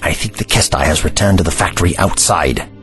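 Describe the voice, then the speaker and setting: A man's voice: sits low, brisk, fairly bright tone, soft background music. A man playing an older, commanding and protective time traveler in an audio drama, speaking formally and precisely in an avuncular way. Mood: measured, wry. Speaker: actor in a sci-fi audio drama.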